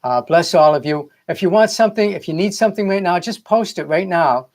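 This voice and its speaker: A man's voice: high-pitched, animated, fairly quick, darkish tone. A middle-aged or older man with a Boston accent speaks casually and earnestly as a spiritual coach on a livestream, rambling and repeating phrases for emphasis. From Boston area, United States. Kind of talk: casual motivational livestream talk.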